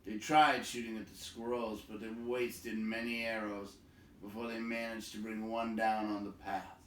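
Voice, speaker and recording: A man reading a fantasy story aloud in a dramatic, measured style, with suspenseful emphasis and pauses. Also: on the low side, flat delivery, a little hiss or hum. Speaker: storybook reader. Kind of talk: dramatic read-aloud narration of a fantasy novel. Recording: roomy echo, distant microphone.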